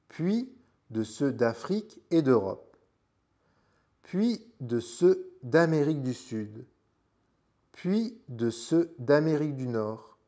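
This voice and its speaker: A man reading a storybook aloud as a French teacher would for learners, in measured, deliberate phrases with pauses. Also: mid-range voice, a little mellow.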